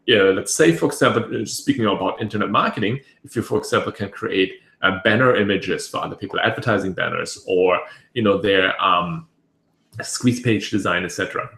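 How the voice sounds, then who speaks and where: A man with a raspy voice hosting a casual webinar, rambling with many fillers and restarts. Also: sits low, fairly quick, a bit bright. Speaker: webinar host. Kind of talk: casual live webinar talk. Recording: echoey room.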